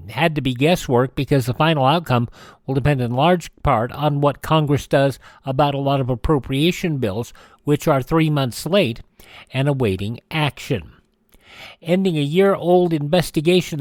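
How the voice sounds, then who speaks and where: An American man hosting a radio show, reading news items in a measured way with occasional stumbles and self-corrections. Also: medium pitch, a little mellow, no echo, studio quality.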